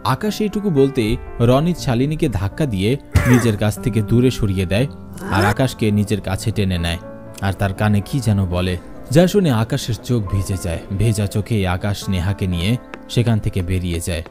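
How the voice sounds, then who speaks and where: Guy narrating a spooky cartoon story, lowish voice, dramatic and suspenseful, loud music under it. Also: lively intonation, moderate pace. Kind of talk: scripted horror story narration.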